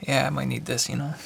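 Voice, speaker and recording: A man playing a gravelly, whispering shady bartender. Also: mid-range voice, slight background noise, full character voice. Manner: soft, often whispered, tough-guy slang. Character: shady male bartender, chatty and nervous. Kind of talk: ASMR noir roleplay.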